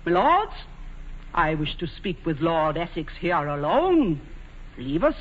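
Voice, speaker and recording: A haughty, commanding queen of old radio drama. Her theatrical delivery sweeps widely in pitch. The 1940s recording is dull and hissy, with a long hall reverb. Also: very animated, slow pace. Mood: commanding anger. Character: imperious, proud, passionate aging English queen. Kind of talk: 1940s radio acting, stage classical drama.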